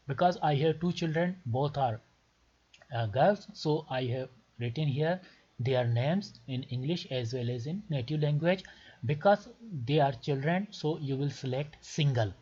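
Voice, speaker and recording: A man from Pakistan, a native Urdu speaker with a Pakistani accent, explains step by step as a tutorial presenter, deliberately and in short, repeated phrases. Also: medium pitch, darkish tone, slightly rough. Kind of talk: step-by-step instructional screen tutorial. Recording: muffled lo-fi voice recording.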